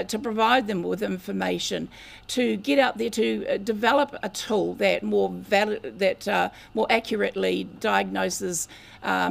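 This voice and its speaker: Older kiwi woman, very gravelly croaky voice, lecturing at a lectern in a meeting room. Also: mid-range voice. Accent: New Zealand. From New Zealand. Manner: measured, earnest, with long greetings in Māori. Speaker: guest speaker, researcher. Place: community meeting room, indoors. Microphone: lectern mic.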